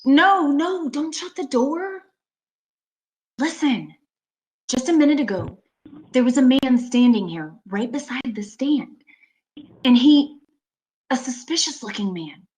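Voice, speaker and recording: A woman's voice: high voice, animated, slow pace, pauses often, a little mellow, rough recording. A woman playing a haunted, anxious motorist in an amateur radio play, narrating her journey in an earnest, dramatic way. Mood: frightened, pleading. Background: prominent music.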